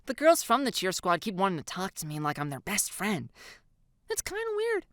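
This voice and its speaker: A woman's voice: mid-range voice, huge pitch swings, moderate pace, dry recording, clean studio sound, pitched above the performer's own voice. A woman voicing a frantic, melodramatic teenage cheerleader who blurts and exclaims indignantly in an audiobook-style story. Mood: worried.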